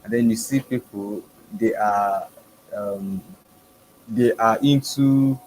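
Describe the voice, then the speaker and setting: A man's voice: fairly low pitch, slow pace, a little mellow, a little hiss or hum, rough recording. A man in the audience politely asking the speaker a question, hesitant with fillers. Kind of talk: question from the floor.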